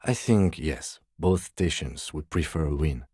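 Dry, restrained middle-aged detective for a video game; low voice, deadpan, close dry sound. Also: male voice, husky, no room sound, full character voice. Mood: matter-of-fact. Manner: measured, restrained, formal, deadpan. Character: composed, dry, by-the-book middle-aged male police detective. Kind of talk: scripted game dialogue.

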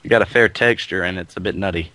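A man making a prank phone call in a deadpan, earnest-sounding manner over an internet voice call. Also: low voice, quick pace, dry recording. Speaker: prank caller.

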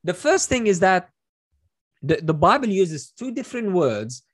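A man's voice: sits high, very animated, a little slow. Emphatic middle-aged man posing questions. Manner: emphatic, building points with rhetorical questions. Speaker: host. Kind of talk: explaining scripture on a video call. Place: small room, indoors. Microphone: webcam on video call.

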